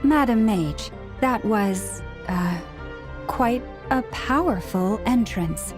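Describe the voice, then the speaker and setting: A composed priestess speaks in a fairly high voice with courteous, measured formality. It is gentle video game acting with faint music behind. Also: female voice, lively intonation. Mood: tactful.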